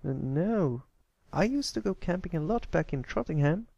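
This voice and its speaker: Reluctant young pony colt, man acting, timid, stammering, somewhat higher pitch, cartoon storybook. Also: lively intonation, moderate pace, vocal fry, mellow, no echo, highs cut off. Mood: hesitant. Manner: hesitant, stammering.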